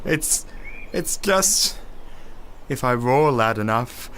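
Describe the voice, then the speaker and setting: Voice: medium pitch, lively intonation, slow, fairly bright tone, slight background noise. An actor in an audio drama playing a cowardly, tearful talking lion, whimpering and pleading hesitantly.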